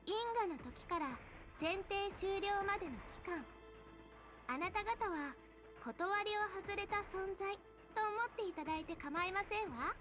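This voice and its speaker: Very high, prim, haughty girlish overseer. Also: a little fast. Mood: formal, composed. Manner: formal, polite, ladylike and condescending. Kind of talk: Japanese visual novel voice acting. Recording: music behind the voice.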